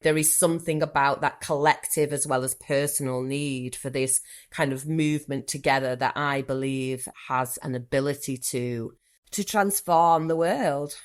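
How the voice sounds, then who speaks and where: Low-voiced Mancunian woman, enthusiastic. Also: normal speed. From Manchester, England. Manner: enthusiastic, wandering, repeats words as she thinks. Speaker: podcast guest, therapist. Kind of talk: reflective conversational interview.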